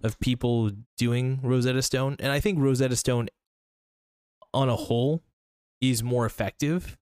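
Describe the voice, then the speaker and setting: Young man, casual solo podcast, rambling, fillers and restarts, medium pitch, dry close sound. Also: steady tone, measured pace, darkish tone, clear, resonant tone, no room sound. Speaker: podcast host.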